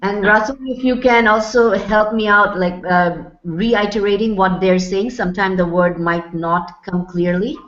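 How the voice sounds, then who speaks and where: A woman's voice: medium pitch, measured pace, hardly any pauses, fairly bright tone. Encouraging woman lecturing over a video call. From Bangladesh. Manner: friendly, encouraging, many fillers. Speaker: guest lecturer. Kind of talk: virtual lecture to students. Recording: roomy, dull lo-fi video call.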